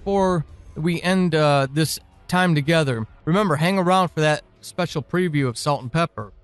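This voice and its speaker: Casting: male podcast host, earnest and appreciative, informal announcements, dry studio-like sound over soft music. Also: a little high, moderate pace, clear, resonant tone, dry recording. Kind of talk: informal listener thanks and announcements.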